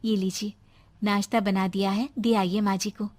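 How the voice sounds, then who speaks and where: A woman voicing a sweet, dutiful young daughter-in-law with a pleading, eager manner in an animated story. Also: medium pitch, normal speed, close to an everyday voice. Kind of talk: animated Hindi moral story acting.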